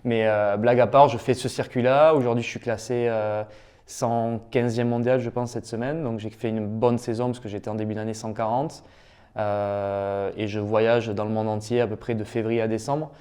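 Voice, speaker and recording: A young man, a pro athlete answering interview questions in an enthusiastic, talkative way, often pausing on "euh". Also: on the low side, level tone, darkish tone, smooth. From Toulouse, France. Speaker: professional padel player, guest.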